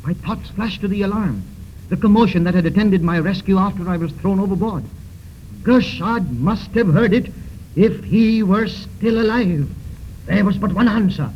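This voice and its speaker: Guy doing a tense old-time radio detective telling his case, deliberate and dramatic, highish voice, muffled hissy recording. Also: lightly acted. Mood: brooding, deliberate. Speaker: narrator and lead actor of a radio mystery serial. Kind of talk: 1930s radio drama first-person narration.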